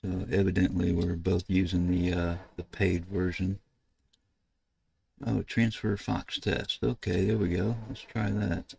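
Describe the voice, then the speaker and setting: An older American man narrating a casual screen-share tutorial, thinking aloud in a halting way as he works at his computer. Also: low-pitched, level tone, vocal fry, a little mellow, a little hiss or hum. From United States. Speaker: ham radio video host. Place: indoors at a computer desk.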